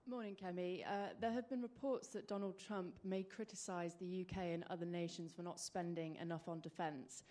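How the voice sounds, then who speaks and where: A woman reporter puts formal questions at a press conference. Her voice sits fairly low and has a soft, dark, very mellow tone. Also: steady tone, moderate pace, hardly any pauses. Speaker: journalist.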